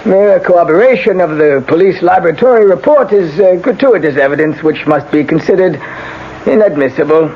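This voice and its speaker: Judge, radio drama: high male voice, deliberate and formal, muffled broadcast recording. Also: lively intonation, a little hiss or hum. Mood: stern, official. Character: formal, measured male judge presiding in court. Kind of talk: 1970s-80s radio drama acting. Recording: dull old radio broadcast.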